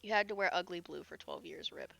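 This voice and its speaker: A young woman with a hoarse voice, chatting casually with her live audience while she plays a game, often laughing and reading comments aloud. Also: fairly low pitch, fast talker, a bit bright. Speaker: live streamer. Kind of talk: casual chat while gaming.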